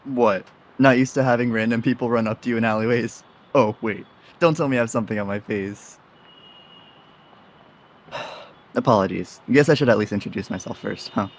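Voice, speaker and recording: A man's voice: mid-range voice, moderate pace, pauses often, lightly acted. A man playing a flippant, helpful young faceless stranger in an audio drama, talking in a casual, joking way. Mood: wry, friendly. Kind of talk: audio drama character acting.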